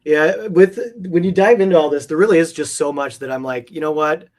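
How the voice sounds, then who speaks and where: A man's voice: a little high, a little fast, darkish tone. Enthusiastic man rambling in casual conversation. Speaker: interview guest, art collector. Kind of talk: casual chat.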